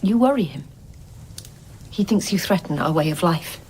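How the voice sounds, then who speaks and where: A woman's voice: on the low side, lively intonation, normal speed, darkish tone. An actress playing a dutiful, guarded wife who earnestly believes in her society, in an audio drama. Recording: clear background noise.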